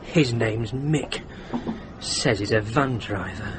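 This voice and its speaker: A man's voice: mid-range voice, slow, lightly acted. An actor playing a prickly, snobbish salesman who talks in a peevish, sarcastic way in a radio drama. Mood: sneering. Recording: noisy radio drama recording.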